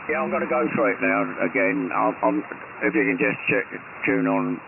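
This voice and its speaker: A man, an amateur radio operator talking on a radio net, halting as he reads out settings step by step. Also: mid-range voice, vocal fry. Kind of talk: on-air radio net chat. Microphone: radio transceiver microphone. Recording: tinny radio transmission with hiss.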